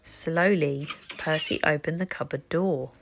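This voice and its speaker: A woman reading a children's book aloud as an expressive, deliberate storyteller. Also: sits low, soft background music. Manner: animated, deliberate.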